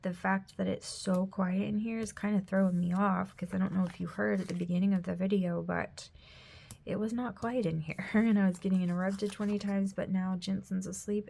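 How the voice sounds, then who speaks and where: A woman's voice: mid-range voice, vocal fry, darkish tone. A woman, chatting casually at home while she decorates a planner; she is sniffly, her throat is scratchy, and she rambles with lots of "like" and often drops to a whisper. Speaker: planner vlogger, mother. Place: home room.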